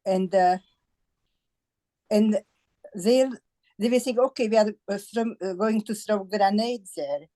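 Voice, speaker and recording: An elderly woman with a Slovak accent recounts her own life. Her voice is soft-edged and relaxed, and she searches for words in her second language as she gives testimony. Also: medium pitch, rough recording. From Bratislava, Slovakia. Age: 80s. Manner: halting, searching for words in non-native English. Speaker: Holocaust survivor giving testimony. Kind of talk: personal testimony in a group discussion.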